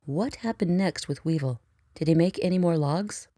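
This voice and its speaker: A woman's voice: lowish voice, moderate pace, mellow, no room sound, studio quality, lightly acted. A woman playing a weary, businesslike pony analyst in an audio drama, speaking in a clipped, professional way. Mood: curious. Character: female pony analyst, weary, businesslike, reviewing surveillance logs.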